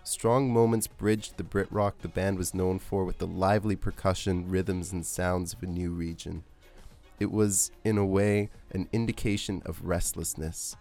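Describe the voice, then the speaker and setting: Low-voiced man narrating over music. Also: fairly even intonation, moderate pace, darkish tone. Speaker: podcast host. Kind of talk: scripted narration of a music documentary. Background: music under the voice.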